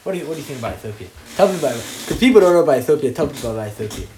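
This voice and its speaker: A young man from Somalia, hosting a casual podcast chat with animated, laughing banter. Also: mid-range voice, racing. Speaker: podcast host. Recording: echoey room with faint noise.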